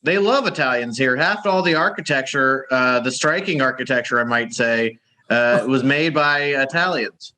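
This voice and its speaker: Man, bright forceful voice, hammy fake Italian accent, video call, improvised comedy bit. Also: medium pitch, normal speed, rough recording. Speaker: comedy correspondent.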